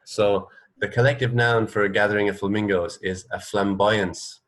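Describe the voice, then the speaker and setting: Man, radio co-host, deep pitch, casual, conversational, trivia-sharing. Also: fairly even intonation, normal speed, a bit bright.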